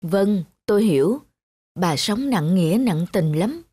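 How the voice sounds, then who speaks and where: A woman's voice: medium pitch, expressive, unhurried, dark tone, breathy edge. A woman voicing a friendly female neighbour in a cartoon, chatty and sympathetic.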